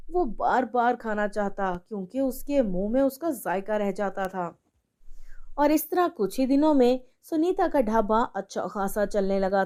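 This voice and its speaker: A woman's voice: a little high, mellow. A woman narrating a scripted story as the storyteller.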